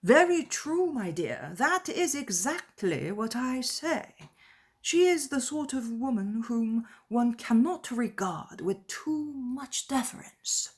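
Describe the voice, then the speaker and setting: A woman voicing a pompous, fawning, self-satisfied clergyman in a dramatized classic novel, speaking effusively and deliberately. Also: a little high, very animated, husky, voice pushed up, heavily acted.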